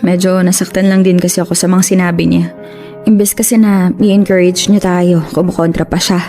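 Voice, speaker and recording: Actress as a mellow-voiced young Filipina wife, earnest and yearning. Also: female voice, on the low side, little pitch movement, normal speed, soft background music, close to an everyday voice. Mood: hurt, dejected. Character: discouraged young wife, earnest and eager for independence. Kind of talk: Filipino radio drama acting.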